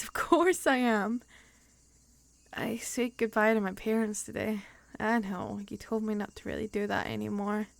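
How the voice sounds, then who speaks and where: A woman playing a tender, excitable young woman in an improvised ASMR roleplay, hesitant and soft, slipping into whispers. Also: a little high, creaky. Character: young woman nervously leaving her hometown, tender and excitable.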